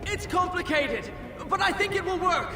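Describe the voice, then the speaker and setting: An actor playing a self-assured young prince adventurer in a video game, with a dry, sardonic, grumbling manner. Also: male voice, very high-pitched, normal speed. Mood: urgent calling. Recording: echoey, loud game music behind.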